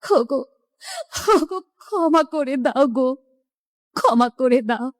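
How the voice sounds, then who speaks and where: A woman's voice: high voice, lively intonation, slow pace, no echo, studio quality. An actress playing a middle-aged mother and wife, lamenting emotionally in a horror cartoon story. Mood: pleading.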